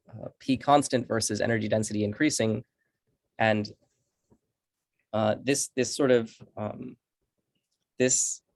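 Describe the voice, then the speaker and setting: A man speaking as a researcher at an online academic seminar, explaining in halting phrases with repeated words. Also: medium pitch, vocal fry, dry recording. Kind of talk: academic presentation and answering questions.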